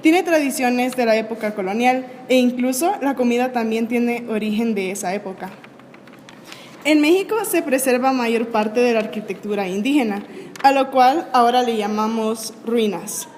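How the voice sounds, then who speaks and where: Young woman from El Salvador, interviewee, higher voice, measured answers, long reverb with faint noise. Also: darkish tone. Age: young adult. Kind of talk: student video interview.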